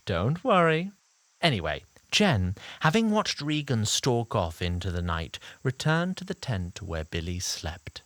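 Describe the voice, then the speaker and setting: A man performs a self-aware fantasy storyteller with a highly animated, mid-pitched delivery. He is arch and wordy, addressing the audience directly in an elaborate, theatrical style. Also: dry recording. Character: chatty, theatrical male storyteller narrator, fussy and self-aware. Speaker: narrator. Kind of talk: scripted fantasy audio drama narration.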